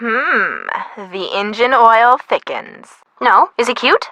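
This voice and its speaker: Peppy teasing best friend girl in a mystery game, highish voice, super animated, sassy. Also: slow, no echo, lo-fi sound, heavily acted. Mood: sassy, curious. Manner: expressive, sassy, casual banter. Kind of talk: scripted mystery game voice acting.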